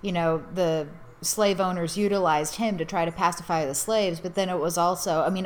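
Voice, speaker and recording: A woman with a somewhat low voice co-hosts a relaxed film review chat. She lays out her thoughts carefully, one step at a time, and keeps a thoughtful tone. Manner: thoughtful, builds a point step by step. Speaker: podcast co-host.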